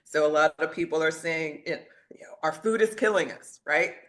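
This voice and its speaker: A woman's voice: low voice, moderate pace. A woman talking as a podcast guest, conversational, stressing and rephrasing her points. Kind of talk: conversational discussion.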